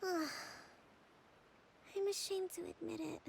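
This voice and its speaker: Weary, soft-spoken priestess. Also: female voice, very high-pitched, flat delivery, slow, slight background noise, heavily acted. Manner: soft, tired, often breathy near-whisper. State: worn out. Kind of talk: game voice acting.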